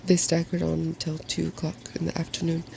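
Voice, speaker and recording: A soft-spoken man reading a fairy tale as an ASMR storyteller. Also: a little high, completely flat delivery, brisk, creaky, a little hiss or hum.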